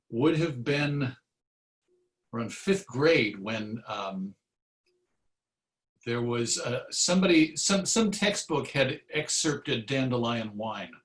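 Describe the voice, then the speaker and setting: A man who hosts radio, answering interview questions conversationally in a reflective, measured way with pauses mid-thought. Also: medium pitch. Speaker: interview guest, radio show host. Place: recorded virtual session.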